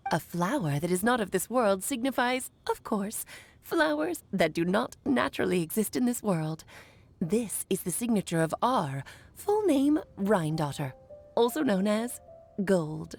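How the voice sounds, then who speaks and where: A wise, playful woman mage who has lived for centuries in a fantasy video game. Her voice sits fairly high and moves in lively swoops, with theatrical pauses on key words, over soft music. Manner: theatrical storytelling, unhurried emphatic pauses.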